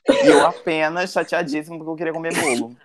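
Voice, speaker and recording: A man from Brazil hosting a relaxed podcast chat. He strings his stories together with frequent fillers and asides. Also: on the high side, quick pace, over a noisy crowd. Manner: storytelling, many fillers like "tipo" and "assim". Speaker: podcast host. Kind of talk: casual chat. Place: living in Portugal.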